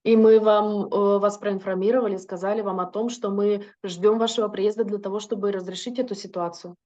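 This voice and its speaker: Mellow-voiced woman interpreting a lecture. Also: mid-range voice, fairly even intonation, brisk, muffled recording. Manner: fluent with frequent filler hesitations.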